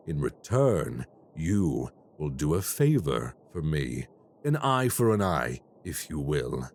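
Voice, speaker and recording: A man voicing a cold, calculating crime boss in an audio roleplay, speaking in a slow, measured and formally menacing way. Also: extremely low pitch, a little hiss or hum, full character voice.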